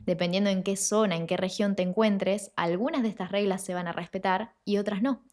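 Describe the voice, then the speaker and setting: A woman teaches pronunciation in an online lesson. Her voice sits at an ordinary middle pitch. She explains clearly and patiently, and slows down deliberately whenever she models a sound. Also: a little mellow. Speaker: Italian language teacher.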